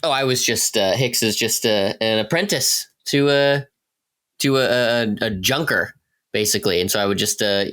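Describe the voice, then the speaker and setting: A man in a podcast cast, chatting casually in a halting, loosely rambling way. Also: on the low side, a bit bright. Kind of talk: casual chat.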